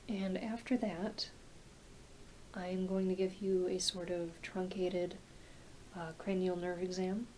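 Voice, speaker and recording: A woman playing a gentle, reassuring, slightly scattered doctor in an ASMR medical roleplay, speaking softly and haltingly, often trailing into a near-whisper. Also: on the low side, close to an everyday voice, rough recording. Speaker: ASMR performer. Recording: close home recording with clear hiss.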